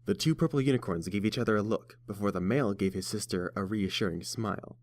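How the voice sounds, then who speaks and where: A man's voice: on the low side, fast talker, dry recording. A man reading scripted story narration as the narrator.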